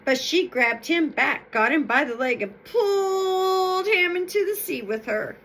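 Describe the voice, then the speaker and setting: A woman reading stories aloud in an expressive, emphatic, explanatory way. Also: sits high, measured pace. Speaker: storyteller reading folktales aloud. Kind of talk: expressive read-aloud storytelling with informal explanatory asides.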